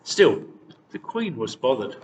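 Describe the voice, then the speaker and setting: A man narrates a storybook tale with very lively intonation. He sounds set back from the microphone, with long reverb and faint noise behind him. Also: mid-range voice, a little slow, far from the mic. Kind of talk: expressive scripted fairy-tale narration.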